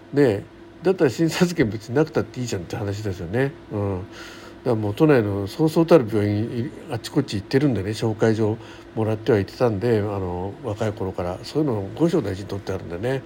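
A man's voice: medium pitch, animated, a little fast, warm tone. A middle-aged man hosting a solo radio show, chatting casually in a colloquial, folksy way. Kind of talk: casual solo chat, rambling daily-life anecdotes. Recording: faint background noise.

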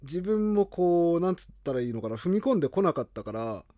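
A man's voice: on the high side, very animated, fast, mellow, no room sound, clean studio sound. A man chatting casually as a podcast host, talkative and emphatic, readily repeating himself in agreement. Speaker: podcast host and radio drama writer. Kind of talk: casual chat.

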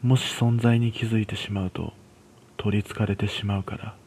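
Man voicing a grave, husky psychic woman. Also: lowish voice, flat delivery, normal speed, a little mellow. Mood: concerned. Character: serious, cautioning young woman who senses spirits. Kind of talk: radio horror story dialogue.

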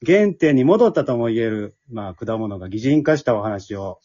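Lively, husky man hosting. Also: medium pitch, normal speed, highs cut off. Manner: chatty, backchannels often, laughs readily. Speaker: host and MC of an after-talk. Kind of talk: casual hosting chat.

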